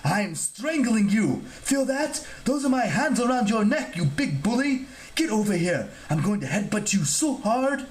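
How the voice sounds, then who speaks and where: Storybook role: pompous little rule-keeping god, played by a man, shrill and indignant, very animated. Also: unhurried, hardly any pauses. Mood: outraged. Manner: shrieking, indignant, officious. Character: fussy, indignant male statue god of rules.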